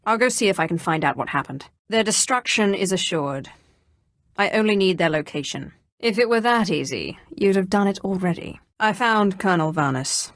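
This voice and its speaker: An actress playing a cold, commanding Sith Inquisitor in a video game, with an imperious, clipped and dryly sardonic delivery. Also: female voice, medium pitch, lively intonation, moderate pace, no echo, clean studio sound, close to an everyday voice. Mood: cool, probing. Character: female Sith Inquisitor, cold, commanding, menacing young sorceress. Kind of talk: scripted video game dialogue.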